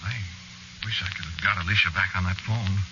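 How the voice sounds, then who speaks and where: An actor playing a haunted, centuries-old man muttering haltingly to himself in an old-time radio horror play. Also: deep voice, fairly even intonation, lightly acted. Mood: anxious. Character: haunted centuries-old man hunting a shapeshifting witch lover. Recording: dull, noisy vintage radio recording.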